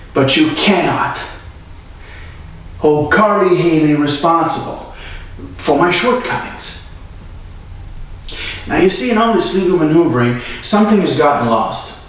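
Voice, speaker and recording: A man acting a dramatic monologue as a young, earnest defense lawyer pleading solemnly and deliberately to a jury. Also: fairly high pitch, lightly acted. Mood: humble, apologetic. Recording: very echoey room, far from mic.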